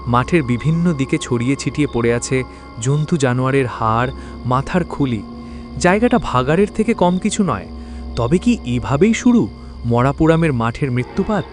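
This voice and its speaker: Lively, dramatic male horror narrator. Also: mid-range voice. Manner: dramatic, suspenseful delivery. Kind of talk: scripted dramatic horror storytelling.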